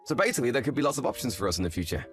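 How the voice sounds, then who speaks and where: A man playing an earnest, devoted young husband in a scripted audio story, with an expressive delivery. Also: mid-range voice, very fast, slightly rough, soft background music. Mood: earnest, grateful.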